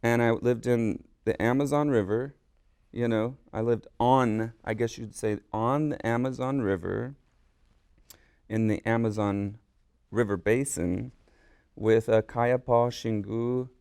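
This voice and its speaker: A man's voice: medium pitch, fairly even intonation, unhurried, vocal fry, a little mellow, clean tone, no echo. A man whose first language was Korean, a guest artist and musician in a radio studio, telling his story in a rambling, eager way. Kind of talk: casual interview chat.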